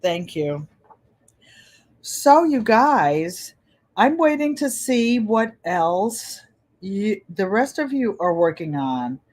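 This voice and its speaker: Gentle-voiced woman in her seventies. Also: medium pitch, big pitch swings, slow. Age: 70s. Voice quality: gentle, pleasant voice. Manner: chatty, rambling, repeats phrases. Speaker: channel host. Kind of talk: casual livestream chat.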